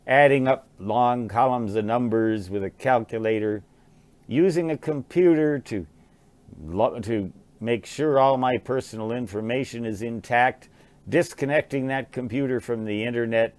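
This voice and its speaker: An American man in his sixties, musing aloud in a halting, rambling monologue and often repeating words. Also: lowish voice, a little mellow, smooth. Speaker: vlogger, writer and ham radio hobbyist.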